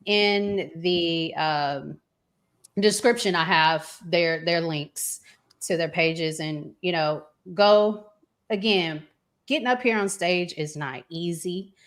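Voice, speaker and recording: A woman's voice: lowish voice, slow, fairly bright tone. An American woman hosting a casual relationship talk show, conversational and repeating words for emphasis. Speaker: host.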